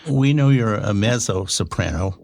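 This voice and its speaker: A man's voice: on the low side, expressive, moderate pace, gravelly, a little mellow. A man co-hosting a podcast, asking curious, rambling questions in casual chat. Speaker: podcast co-host.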